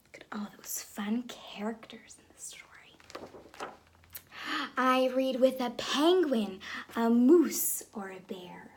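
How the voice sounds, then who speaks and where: A woman teacher reading a picture book aloud in an expressive way, speaking to young children. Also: a little high, slow, slight background noise. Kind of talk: children's story read-aloud. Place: room at home with reading chair.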